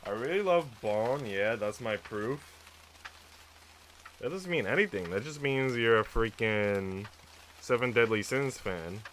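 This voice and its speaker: A man's voice: mid-range voice, lively intonation, unhurried, a bit bright. A man on a live stream, reading visual novel text aloud and chatting casually with viewers. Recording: noisy mic with typing sounds.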